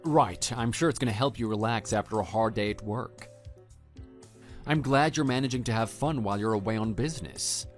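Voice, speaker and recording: A man playing a level-headed, skeptical husband with a measured, hesitant delivery in an audio drama. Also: fairly low pitch, faint music behind, close to an everyday voice. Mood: affectionate. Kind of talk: scripted texting-story radio drama.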